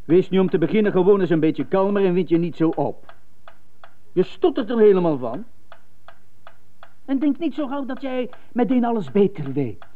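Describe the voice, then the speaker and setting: Stern, animated female piano teacher played by actress. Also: mid-range voice, moderate pace, long pauses, natural voice. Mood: admonishing. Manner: emphatic, lecturing, repeats for effect. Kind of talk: 1980s radio drama. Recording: thin phone-like radio tape.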